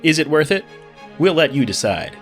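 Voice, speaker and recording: A man playing an evening news anchor, reading scripted headlines in a measured, upbeat broadcast manner. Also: a little high, sing-song, a bit bright, faint music behind. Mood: measured, newsy.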